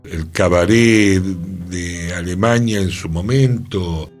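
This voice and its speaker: A man's voice: low voice, slow, soft background music. A man with a Rioplatense Spanish accent, musing aloud on a radio talk show in a thoughtful, questioning way. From Argentina. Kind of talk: reflective radio conversation. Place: radio studio.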